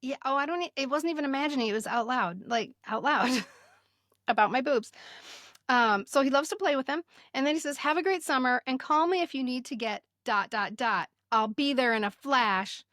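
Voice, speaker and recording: Podcast co-host: American woman, 50s, fairly high pitch, relaxed informal chat, dry sound. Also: moderate pace, no room sound. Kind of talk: casual chat, reading aloud.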